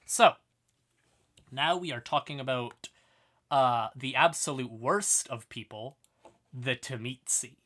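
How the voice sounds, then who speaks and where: A man's voice: mid-range voice, takes it slowly, pauses often, bright, forceful tone. A man hosting a livestream and explaining fantasy lore in a casual, irreverent, sweary, conversational way. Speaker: livestream host.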